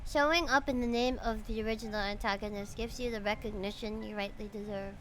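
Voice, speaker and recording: A woman's voice: medium pitch, steady tone, fast talker, fairly bright tone, a little hiss or hum, poor audio. A woman, chatting casually and reading game dialogue aloud on a livestream. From Philippines. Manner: chatty, reacts with exclamations.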